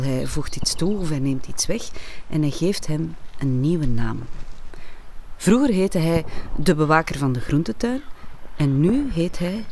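A woman narrating an audio play for children and families, playfully speaking straight to the listener with deliberate pauses. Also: low voice, darkish tone, a little hiss or hum.

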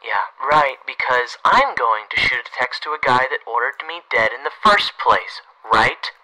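A man's voice: medium pitch, animated, measured pace, crowd noise, rough recording. An actor playing a bitter, sarcastic man back from presumed death in an audio drama, accusing in manner. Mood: bitter, mocking.

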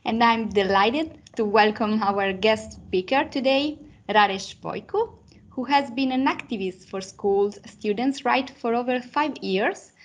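A woman hosts a webinar over a video call in a voice of ordinary pitch. She reads a formal, polite welcome in a steady, prepared way. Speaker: webinar host. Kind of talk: scripted introduction.

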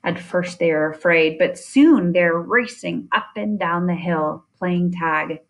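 Lady reading a kids picture book out loud, kinda low voice, really slow n careful. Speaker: reader of children's science book. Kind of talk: read-aloud of a children's nonfiction picture book with chatty asides.

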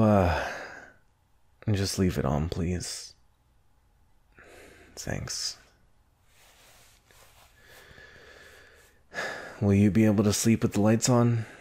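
A brash young anime hero, acted out by a man in an intimate ASMR roleplay. His voice sits fairly low and moves slowly. He is gruff, huffy and defensive, halting as he covers up a soft, scared side. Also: full character voice. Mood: softened, sleepy.

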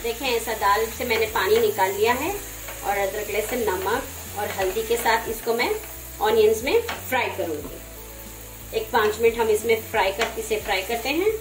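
Woman explaining a recipe in her kitchen, pan sizzling loud behind her, echoey. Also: fairly high pitch, normal speed. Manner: gentle, instructive, conversational. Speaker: home cook. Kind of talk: cooking demonstration voice-over. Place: home kitchen. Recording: roomy kitchen, frying noise. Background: sizzling frying pan.

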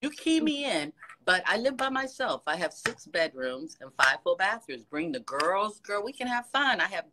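A woman's voice: medium pitch, sing-song, normal speed, noisy background. A woman in her sixties on a casual phone video chat, gushing with praise and encouragement, talking to the camera from an office. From United States. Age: 60s. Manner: gushing, warm praise with frequent laughs. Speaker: fan on a video call. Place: office with ceiling lights. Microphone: phone camera held close.

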